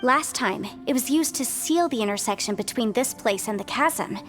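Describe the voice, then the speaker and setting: A clever young game heroine, talks in a high voice. She is an upbeat problem-solver who explains articulately and encouragingly, with music softly behind her. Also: lively intonation, moderate pace. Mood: explaining. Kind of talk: video game voice acting.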